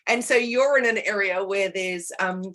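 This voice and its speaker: A woman's voice: medium pitch, moderate pace, a bit bright. A woman in her forties with a New Zealand accent, hosting a casual interview podcast over a video call, chatty and asking long, rambling questions. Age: 40s. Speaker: podcast host.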